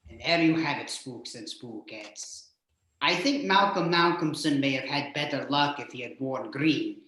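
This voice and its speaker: A man's voice: a little slow, sharp tone. Echo-drenched, theatrical male show host. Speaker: host of a horror radio-play stream. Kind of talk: jokey, hammy live show hosting. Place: indoors, remote video call stream. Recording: very echoey, boomy room sound.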